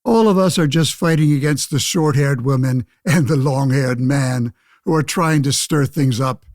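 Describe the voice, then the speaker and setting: An actor playing a pompous, old-fashioned senator of 1911, speechifying against suffrage in a radio play. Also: male voice, medium pitch, moderate pace, darkish tone, dry recording, clean studio sound, close to an everyday voice. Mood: condescending. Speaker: debate guest. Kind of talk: pseudo-radio play, oratorical debate.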